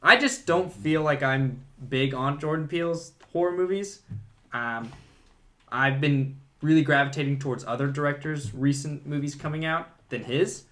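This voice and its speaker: A man's voice: a little high, normal speed, sharp tone. A man co-hosting a podcast, talking casually in a film discussion. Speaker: podcast co-host.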